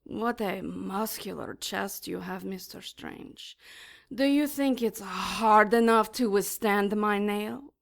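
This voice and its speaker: A woman's voice: medium pitch, vocal fry. An actress playing a sadistic female demon in an audio drama, taunting and savoring her threats. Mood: mocking relish. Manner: slow, mocking, savoring threats.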